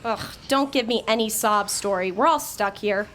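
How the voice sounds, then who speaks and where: A woman playing an impatient, sharp-tongued young coder who talks bluntly and sarcastically. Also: mid-range voice, normal speed, natural voice. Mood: irritated.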